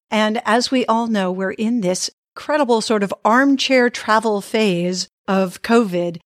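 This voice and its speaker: Measured woman podcast host, dry sound. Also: mid-range voice, no echo. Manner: measured, slow introductions. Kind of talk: podcast interview hosting.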